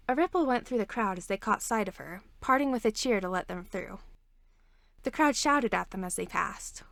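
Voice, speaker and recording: A woman's voice: medium pitch, gravelly, no room sound. A woman reading a scripted story aloud as narrator. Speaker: podfic reader.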